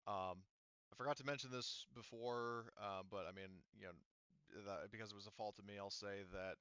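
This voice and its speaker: Bright-voiced man running a tabletop game. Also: lowish voice, steady tone, smooth, no echo, highs cut off. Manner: halting, informal, swears casually. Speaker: game master. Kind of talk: narrating a tabletop roleplaying game, casual.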